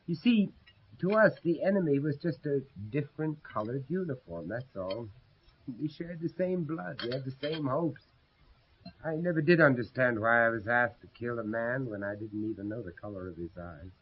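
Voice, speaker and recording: An older actor playing an earnest, haunted, courteous American gentleman in a radio drama, speaking in a measured, brooding way. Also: medium pitch, expressive, slight background noise, natural voice. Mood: wistful, sombre. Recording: dull 1970s radio drama recording.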